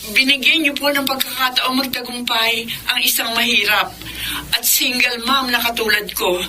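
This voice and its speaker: A woman's voice: a little high, steady tone, moderate pace, bright, forceful tone, slight background noise, low-quality recording. A woman acting a humble, devoted single mother giving a heartfelt speech in a Filipino radio drama. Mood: grateful, earnest. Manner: earnest, emotional. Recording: music under the voice.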